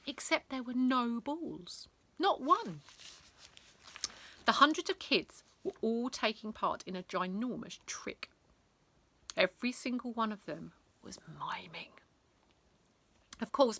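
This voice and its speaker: A woman reading a children's bedtime story aloud in a theatrical, expressive way, lingering on words. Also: a little high, unhurried, gravelly.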